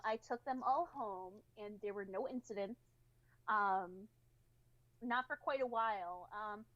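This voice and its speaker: A woman's voice: fairly high pitch, a bit bright. A woman telling personal stories in a casual, candid chat with informal wording. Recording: slightly dull, lo-fi audio.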